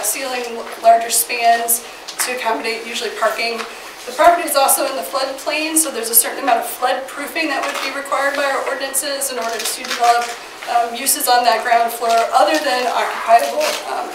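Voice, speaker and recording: A woman with a Midwestern American accent, a city planning staffer getting over a cold, giving a steady, informative staff report to a council. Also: sits high. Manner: informative, steady briefing with fillers. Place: council chamber. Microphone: far from the mic. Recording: echoey, distant, noisy chamber audio.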